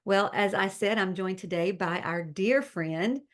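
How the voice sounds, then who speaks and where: A woman's voice: mid-range voice, a little slow, a bit bright. A woman pastor hosting a faith podcast, chatting in an encouraging, upbeat way. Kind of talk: casual faith podcast chat.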